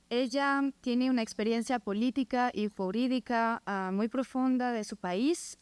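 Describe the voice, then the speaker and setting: A woman's voice: a little high, a little mellow, clean tone, dry recording. A woman in her twenties from France with a French accent, a non-native speaker giving a prepared academic presentation as a student. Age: 20s. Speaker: master's student presenting research.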